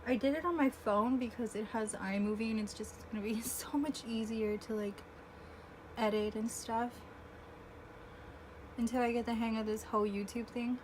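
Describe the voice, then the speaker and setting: A woman in her twenties chatting casually through a makeup tutorial, self-deprecating and often clearing her throat, recorded on her phone at home. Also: fairly high pitch, steady tone, normal speed, a little mellow, slight background noise, rough recording. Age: 20s. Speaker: beauty vlogger. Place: home room with air conditioner. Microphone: phone camera.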